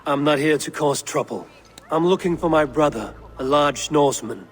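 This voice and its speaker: Man playing a calm, blunt Viking raider. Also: a little high, moderate pace, faint music behind, lightly acted. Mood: blunt, questioning. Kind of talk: dramatic video game dialogue.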